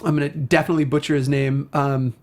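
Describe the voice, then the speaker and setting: A man's voice: a little high, level tone, fast, a little mellow. A man hosting a casual discussion show, speaking in an enthusiastic, effusive way. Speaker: talk show host. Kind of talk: casual film and video game discussion.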